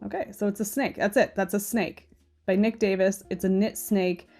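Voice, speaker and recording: A woman's voice: mid-range voice, fairly even intonation, normal speed, a little mellow, faint music behind. A woman hosting a knitting channel, chatting casually and opinionated while browsing on screen, a little stuffy and nasal. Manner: chatty and opinionated, often asking "okay?". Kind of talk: casual screen-share commentary.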